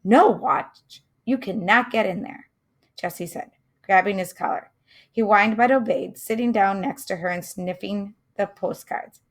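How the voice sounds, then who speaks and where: A woman reading a children's mystery chapter aloud, pausing to comment and wonder aloud to her listeners. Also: medium pitch. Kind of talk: read-aloud storytelling with asides.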